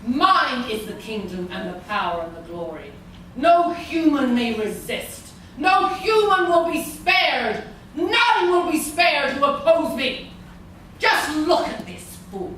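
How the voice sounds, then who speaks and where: A woman playing a grandiose, merciless gargoyle sorceress villain in a live radio play, speaking in a commanding, deliberate way. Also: sits high, sing-song, a bit bright, full character voice. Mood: scornful, menacing. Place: live convention stage reading in a large room. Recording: echoey noisy hall, recorded from far off.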